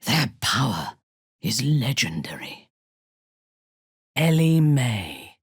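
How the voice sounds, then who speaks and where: A ruthless dark elf noblewoman for a fantasy video game. She is haughty and commanding, with a husky, breathy voice. Her delivery is slow and deliberate, swinging widely in pitch, and the sound is close and dry. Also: very animated, no echo, full character voice. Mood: wry, formal. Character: imperious, ruthless dark elf noblewoman warrior, coldly devoted.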